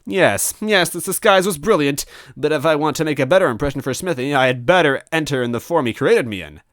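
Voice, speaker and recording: A man's voice: on the high side, lively intonation, a little fast, a bit bright, no echo, studio quality, pitched above the performer's own voice. A man voicing a villainous, frantic robot with a screechy, theatrical delivery for a story reading. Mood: smug, commanding. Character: villainous spear-shaped robot, male, shrieking, panicked and menacing.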